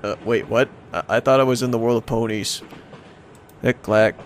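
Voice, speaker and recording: A man's voice: mid-range voice, steady tone, normal speed. A man hosting a let's play, casually commenting and reading aloud in a playful, rambling way as he reacts to the game.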